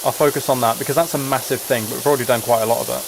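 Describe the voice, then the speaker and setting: A man's voice: medium pitch, steady tone, very fast, noisy background. A man live streaming a video game, chatting casually and thinking aloud in a rambling way. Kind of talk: casual chat while playing a video game.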